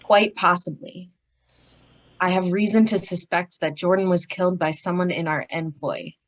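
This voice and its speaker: A lowish voice from an actress plays a worried male executive in a 1940s radio drama. The delivery is formal and proper, with a flustered, anxious edge. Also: steady tone, normal speed, lightly acted. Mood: worried, secretive. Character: worried, publicity-conscious male business executive. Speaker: actor in an old-time radio detective play.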